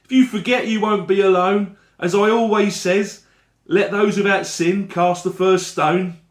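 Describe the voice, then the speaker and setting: Man acting cheery high-pitched street vendor. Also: fairly even intonation, measured pace, roomy sound. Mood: forgiving. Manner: chatty patter, folksy. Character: cheery street magazine seller, warm forgiving working man.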